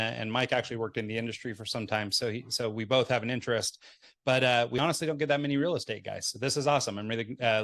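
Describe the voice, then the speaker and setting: A man's voice: medium pitch, fairly bright tone. A man hosting an interview podcast in a casual, informal, meandering conversational way. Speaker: podcast host.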